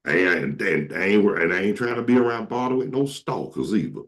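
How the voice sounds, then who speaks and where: A man with a voice that sits very low. It has a rough, gravelly edge. He tells stories in a relaxed, joking way, as the host of a casual chat. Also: fast talker. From Atlanta, Georgia. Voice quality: very deep voice. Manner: joking, slips into comic impressions. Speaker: show host.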